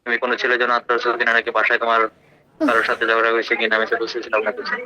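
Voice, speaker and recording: A man's voice: medium pitch, completely flat delivery, racing, pushed and bright. A man with a Bangladeshi accent chatting casually and insistently on a slightly dull, noisy phone call.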